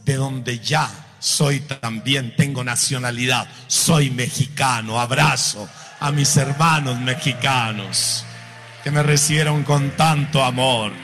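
Male preacher, bright tone, very slow measured delivery rising to shouts, long hall reverb with faint music. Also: mid-range voice. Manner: slow, building to fervent shouted repetition. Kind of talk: sermon to a congregation. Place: church hall with congregation.

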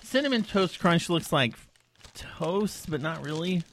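A man's voice: fairly high pitch, animated, measured pace, a bit bright, a little hiss or hum. A man co-hosting a podcast, goofy and playful, talking while he eats. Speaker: podcast co-host. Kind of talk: casual chat.

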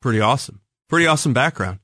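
A man's voice: medium pitch, expressive, rapid-fire, bright, forceful tone, no room sound. American male show host, close dry sound. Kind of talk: conversational show introduction and interviewing.

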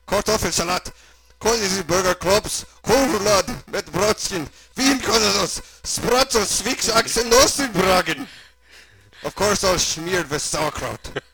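A man's voice: high-pitched, pitched above the performer's own voice, low-quality recording. A man voicing an eccentric, sinister German mad scientist with a mock German accent and theatrical, over-enunciated delivery. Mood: gleeful, excited.

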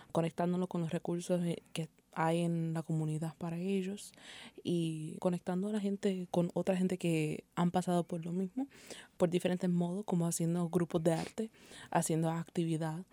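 A woman's voice: fairly low pitch, mellow, dry recording. A woman interviewed in a studio about community health work, explaining steadily and slipping in English words. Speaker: community health manager, guest.

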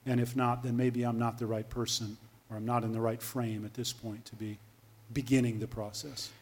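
Flat-toned reflective panelist. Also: male voice, mid-range voice, normal speed.

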